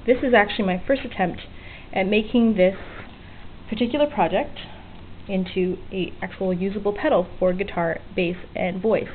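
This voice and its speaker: A woman's voice: medium pitch, fairly even intonation, normal speed. A woman giving an informal, self-deprecating, unscripted show-and-tell of a hobby project, a little sweary. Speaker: hobbyist vlogger showing an electronics project. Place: small home work area by an open window. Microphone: handheld camera's built-in mic. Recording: tinny, band-limited camera audio with hiss. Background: faint outdoor weather ambience.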